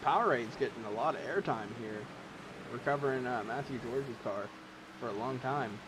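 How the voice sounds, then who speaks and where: A man calling a sim race, casual and rambling, with asides. He is losing his voice and clears his throat now and then. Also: mid-range voice, normal speed. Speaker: race commentator. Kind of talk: sim racing play-by-play commentary. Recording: loud engine noise behind. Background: race car engine sounds.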